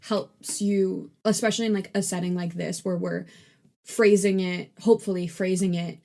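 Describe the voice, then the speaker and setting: A woman's voice: on the low side, steady tone, moderate pace, creaky, darkish tone. Chatty young woman co-hosting. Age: 20s. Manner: casual, chatty, lots of "like". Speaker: podcast co-host, neuroscience student. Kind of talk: casual chat.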